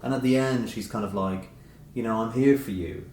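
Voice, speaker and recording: A man's voice: mid-range voice, normal speed, darkish tone. A middle-aged man, an author being interviewed, talking reflectively and tentatively as he rephrases his thoughts. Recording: roomy echo with clear background noise.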